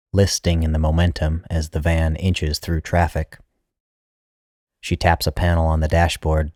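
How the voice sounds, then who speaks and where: A man's voice: a bass voice, a little mellow, no room sound, studio quality. A man reading a literary short story as its narrator, in a scripted style.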